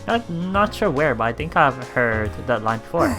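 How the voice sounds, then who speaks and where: A woman's voice: very deep voice, sing-song, moderate pace. Chatty, giggly woman over game music. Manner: giggly, reacting aloud, repeats words. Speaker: let's play streamer. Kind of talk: casual commentary while reading visual novel lines aloud. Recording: music behind the voice. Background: visual novel game music.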